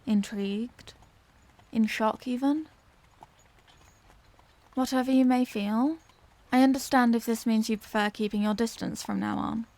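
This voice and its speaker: A woman's voice: fairly high pitch, vocal fry, a little mellow. A woman voicing an anguished, tender Victorian lady vampire in an intimate audio roleplay, speaking in formal, earnest phrases. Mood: anxious, gentle. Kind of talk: scripted audio roleplay, intimate dramatic monologue.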